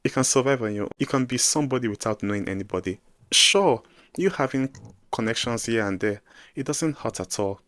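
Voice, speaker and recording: Lively young Nigerian man, low voice. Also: no echo. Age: 20s. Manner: emphatic, animated advice-giving with sudden loud bursts. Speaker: vlogger giving advice to newcomers. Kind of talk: casual direct-to-camera vlog.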